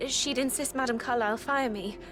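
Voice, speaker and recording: Woman voicing a nervous young maid, stammers, kinda high voice, music under. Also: steady tone, brisk, close to an everyday voice. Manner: nervous, hesitant. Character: nervous young female maid, anxious and smitten. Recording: music behind.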